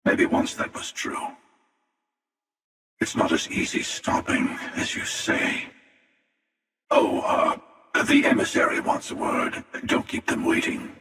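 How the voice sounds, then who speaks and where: Slow, echoing, taunting space hunter. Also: male voice, fairly bright tone. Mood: weary, matter-of-fact. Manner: taunting, sardonic, unhurried. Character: ruthless, world-weary, ancient male space-traveling hunter. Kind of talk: video game character acting. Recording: roomy, distant, long reverberant echo.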